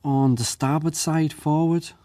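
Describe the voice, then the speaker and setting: A man acting as a working ship's crewman giving testimony at an inquiry, plain-spoken, measured and deferential, in a dramatized reading. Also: fairly high pitch, fairly even intonation, warm tone, no echo, clean studio sound, natural voice. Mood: deferential, careful. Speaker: witness.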